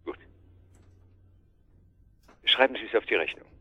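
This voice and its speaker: A man's voice: measured pace, phone-quality sound, close to an everyday voice. An actor in a radio drama playing a curt, businesslike male hotel patron. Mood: curt, irritated.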